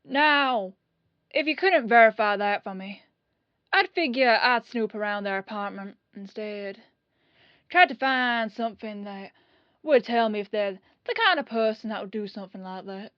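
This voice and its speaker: A woman playing a dogged police detective in an audio roleplay, questioning in a folksy, falsely casual way with pauses. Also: mid-range voice, no echo, dull audio, lightly acted. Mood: quietly suspicious. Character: dogged female police detective, casually probing, quietly suspicious.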